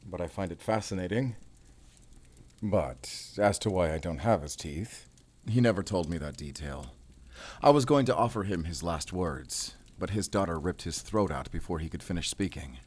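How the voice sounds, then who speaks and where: Deep, brooding monster hunter. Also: male voice, animated, darkish tone. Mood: amused, matter-of-fact. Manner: measured, solemn, introspective. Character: brooding, world-weary male werewolf hunter. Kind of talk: cinematic audio roleplay acting.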